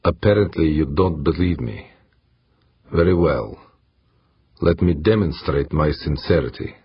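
A man voicing a ruthless, paranoid mercenary ship commander in an audiobook, hard, raspy and commanding. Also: rumbling low, normal speed, dull audio, heavily acted. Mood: deadly calm.

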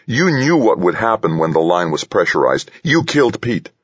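A man's voice: on the low side, big pitch swings, normal speed, slightly rough, dry recording, dull audio, pitched above the performer's own voice, exaggerated voice. A man voicing a smaller, brainy man in an audiobook, earnest and placating yet stubbornly insistent. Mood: accusing. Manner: earnest, soft-spoken but insistent. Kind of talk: audiobook character voice.

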